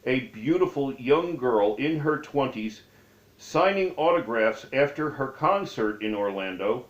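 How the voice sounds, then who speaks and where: A man reading aloud from his own memoir as its author, with deliberate, halting phrasing. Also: medium pitch, animated. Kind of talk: read-aloud memoir narration. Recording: dull, echoey room recording.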